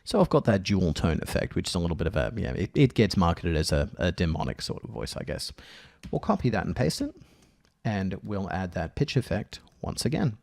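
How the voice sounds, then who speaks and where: A man narrating a casual software tutorial as its presenter, thinking aloud in a halting way. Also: low-pitched, big pitch swings, vocal fry. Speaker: audio editing tutorial presenter.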